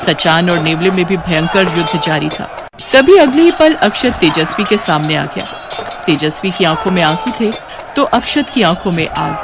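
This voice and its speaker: A woman's voice: on the low side, expressive, normal speed, over music. A woman narrating a scripted fantasy story in a dramatic style.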